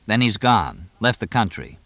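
Man acting a teasing male horse owner, dry, inquisitive, bantering, animated intonation, close dry audiobook sound. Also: fairly low pitch, moderate pace, no echo. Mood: probing, teasing. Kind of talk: audiobook character dialogue.

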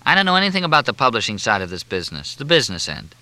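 A cool, wisecracking newspaperman, voiced by a man reading a novel, needles with flippant ease. His mid-range voice is bright and close, sliding into a dry, deliberate drawl. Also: mid-range voice, big pitch swings, no room sound. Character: cool, sardonic, wisecracking male investigative newspaperman.

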